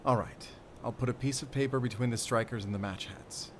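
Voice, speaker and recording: A man playing a battle-worn, calm and wry werewolf hunter in an audio roleplay, speaking deliberately in short, paused phrases with quiet tenderness. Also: mid-range voice, a little hiss or hum, close to an everyday voice. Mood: focused. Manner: measured, deliberate, pausing between short phrases. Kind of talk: cinematic audio roleplay acting.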